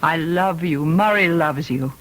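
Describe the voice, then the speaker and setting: A composed, solicitous woman in a vintage radio mystery. She speaks in a measured, careful manner, choosing her words with some hesitation. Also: sits low, a bit bright. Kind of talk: old-time radio mystery acting.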